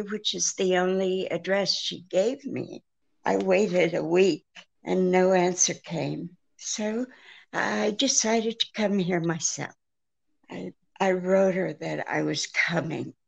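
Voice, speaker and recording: An actress playing an anxious, genteel woman pleading for help in a 1940s-style radio drama, speaking haltingly. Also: fairly low pitch, vocal fry, heavily acted. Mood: worried. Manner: hesitant, halting, faltering.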